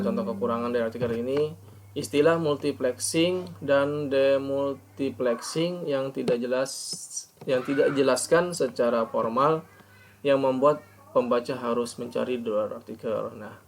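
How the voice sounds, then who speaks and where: A man presents an academic review in a formal, somewhat halting way, reading points and then explaining them. His voice sits at a middle pitch with a noticeable creak, and there is some background noise in the recording. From Indonesia. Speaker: postgraduate student presenter.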